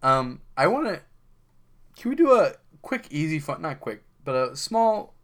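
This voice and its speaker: A young man co-hosting a podcast, teasing his co-host in relaxed, joking banter. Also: on the high side, measured pace. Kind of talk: casual chat.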